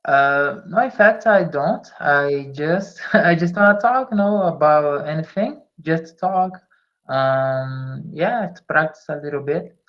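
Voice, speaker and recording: A man's voice: a little high, slow pace. Dull-sounding call, man practising English. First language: not English. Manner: hesitant, frequent small laughs. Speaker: English learner. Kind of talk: casual chat in a language lesson. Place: online video call.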